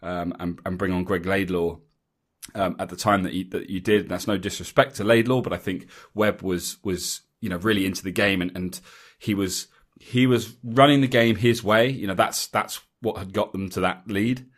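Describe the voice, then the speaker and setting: A man's voice: deep voice, fairly even intonation, normal speed. An English man hosting a rugby podcast, chatting casually and rambling with frequent restarts and ums. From England. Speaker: podcast host. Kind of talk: casual chat.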